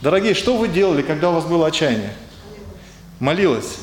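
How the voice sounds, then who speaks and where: A man's voice: fairly high pitch, big pitch swings, fairly quick, slight background noise. A man preaching a conversational sermon in speech, hesitant and searching for words, and putting questions to his congregation. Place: church hall. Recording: echoey hall with faint ambience.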